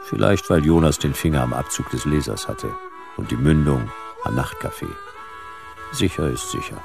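An actor playing a wry, hard-boiled detective in a sci-fi radio drama, with dry, laconic, sardonic delivery. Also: male voice, very deep voice, huge pitch swings, moderate pace, music in the background, full character voice. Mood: wry narrating. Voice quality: gravelly. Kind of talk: noir radio drama dialogue and first-person narration.

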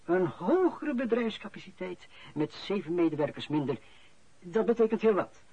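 A sardonic boss from a radio play, courteous and measured with ironic pauses. The husky voice swings widely in pitch, on a dull recording. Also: male voice, sing-song, mellow, dry recording, full character voice. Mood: sardonic, confiding. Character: smooth-talking sardonic male company boss. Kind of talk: radio drama acting.

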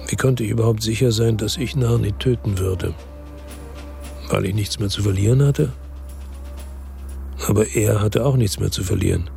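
An actor playing a fatalistic, resolute hard-boiled man who narrates his revenge tersely in a radio drama, with a gravelly voice. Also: lowish voice, dark tone. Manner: terse, brooding. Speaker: first-person narrator. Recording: music under the voice.

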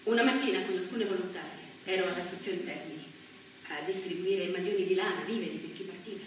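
An actress playing a woman politician recalling the postwar years in a slow, measured, solemn monologue on a stage. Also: on the low side, close to an everyday voice. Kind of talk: theatrical monologue. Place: theatre stage. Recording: echoey stage from far off, faint hiss.

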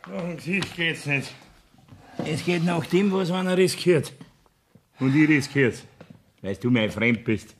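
An actor playing a sly, wary farmer in a 1970s radio play, speaking in a rustic manner with a Bavarian accent. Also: male voice, a little high, expressive, a little slow, long pauses, slight background noise. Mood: sly, hospitable. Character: sly, wary male farmer sheltering an outlaw.

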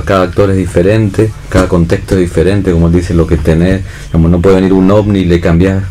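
A man's voice: low voice, dark tone, a little hiss or hum. An Argentine man reflecting aloud in an unscripted discussion, searching for words and often checking with tag questions. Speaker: theatre director and actor, panel guest.

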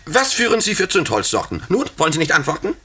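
Pompous young sleuth: very sharp, forceful, swooping delivery, zealous and indignant, old radio drama. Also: male voice, a little high, fast talker, breathy edge, full character voice. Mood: stern, probing. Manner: pompous, declamatory, excitable. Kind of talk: 1950s German radio play acting.

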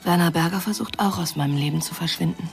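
A woman's voice: on the low side, fast, gravelly, faint music behind. A woman acting in a German radio crime drama, playing an earnest, probing woman who feels left behind.

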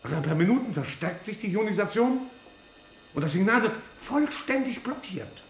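An actor playing a precise, excitable technical scientist in a 1950s German radio drama. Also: male voice, sits high, moderate pace, creaky, roomy sound, a little hiss or hum, full character voice. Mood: excited, explaining.

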